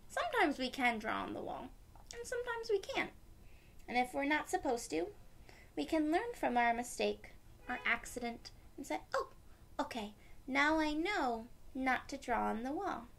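A young woman reading a picture book aloud to children, gently explaining it in simple words. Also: high-pitched, very animated, normal speed. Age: young adult. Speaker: read-aloud storyteller for children. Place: small room at home, indoors.

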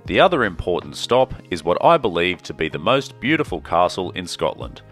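A man narrating his travel vlog in a calm, measured, scripted way. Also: low-pitched, soft background music. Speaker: travel vlogger.

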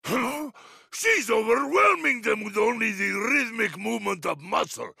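A man playing a huge, boisterous, muscle-proud wrestler in a video game, bombastic and hearty with a gravelly voice. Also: high voice, animated, moderate pace, sharp tone, dry recording, exaggerated voice. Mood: hearty, excited.

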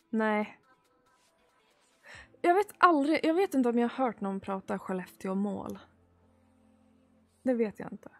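Slow-talking Swedish woman with a gravelly edge. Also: fairly high pitch, darkish tone. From Sweden. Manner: giggly, chatty, drawn-out pauses. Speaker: streamer. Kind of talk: casual chat reading viewer messages. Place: small room at home streaming setup. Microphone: desk mic on boom arm.

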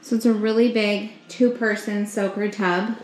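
A young woman giving an upbeat, slow walkthrough of a hotel suite, pointing things out as she goes, recorded on a handheld camera. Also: medium pitch, flat delivery, a little mellow, slight background noise. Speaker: vlogger giving a room tour. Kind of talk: casual walkthrough narration. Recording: echoey rooms with faint ambience.

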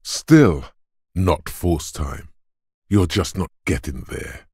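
Gruff, goading muscle-brain game narrator; slow, dramatic delivery, gravelly bass, close dry sound. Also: male voice, animated, a little mellow, no room sound, exaggerated voice. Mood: goading, forceful. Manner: deliberate, commanding, taunting. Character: gruff, macho inner voice of brute muscle, goading like a drill coach.

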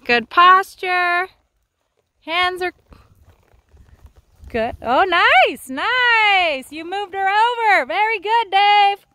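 A woman coaching a horse rider in an outdoor arena, upbeat and teasing, quick to praise. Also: very high voice, expressive, bright, forceful tone, no room sound. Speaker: riding instructor.

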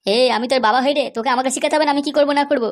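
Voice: high voice, racing, lightly acted. A performer voicing a hot-tempered, foul-mouthed father and husband who blusters and scolds in a comedy cartoon. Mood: scolding.

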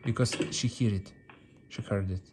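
A man's voice: fairly low pitch, steady tone, moderate pace, noisy background. A man giving casual gameplay commentary, playful and repetitive, with non-native English phrasing. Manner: repeats words and phrases, playful. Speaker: mobile game streamer. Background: game music.

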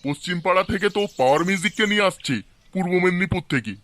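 Man playing a fast-talking, needling village uncle. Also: sits high, expressive, a bit bright. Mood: mocking. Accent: West Bengali. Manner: sarcastic, needling. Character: nagging, mocking older village uncle. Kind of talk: amateur comic cartoon dub.

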